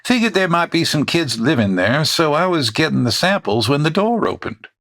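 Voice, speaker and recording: A man's voice: on the high side, big pitch swings, normal speed, fairly bright tone. An actor playing a sheepish, chuckling traveling salesman in a comedy Western audio drama, rambling in a folksy old-West way. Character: bashful folksy traveling salesman, middle-aged man.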